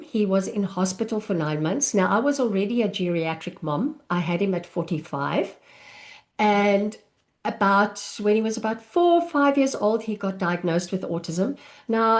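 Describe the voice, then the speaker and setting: South African woman, 50s, parent coach. Slow, friendly, conversational delivery over a video call. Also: mid-range voice. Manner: warm, unhurried, chatty with small laughs. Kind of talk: live online masterclass.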